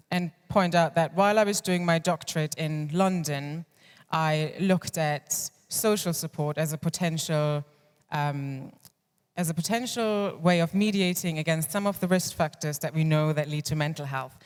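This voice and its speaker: A woman's voice: low-pitched, smooth. German-born woman speaking in a reverberant hall. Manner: candid, reflective storytelling with frequent restarts. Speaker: clinical psychologist speaking at a conference. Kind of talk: personal conference talk. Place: conference hall. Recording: long reverb of a large hall.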